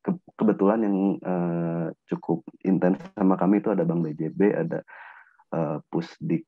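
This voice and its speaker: Deep-voiced man, phone-like sound. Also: fairly even intonation, moderate pace, dry recording. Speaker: business owner, podcast guest. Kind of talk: casual chat. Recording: thin phone-like call audio, dry.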